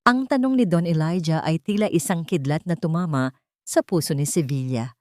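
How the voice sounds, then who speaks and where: A woman narrating a scripted dramatic story in a solemn, deliberate, melodramatic way. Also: deep voice, a little mellow, dry recording, studio quality.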